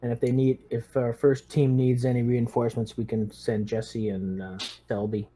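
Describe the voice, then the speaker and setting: Very mellow-voiced man in casual game chat. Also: medium pitch, level tone, normal speed, slight background noise. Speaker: tabletop RPG player directing the squad.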